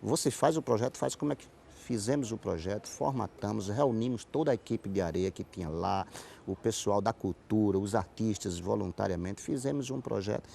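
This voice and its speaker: A man's voice: fairly low pitch, fairly quick, gravelly, mellow, slight background noise. A middle-aged man with a Northeastern Brazilian accent from Paraíba, talking at length and explaining in a TV interview. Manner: talkative, explanatory, repeats and rephrases. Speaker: interview guest, writer and playwright. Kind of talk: conversational TV interview answers.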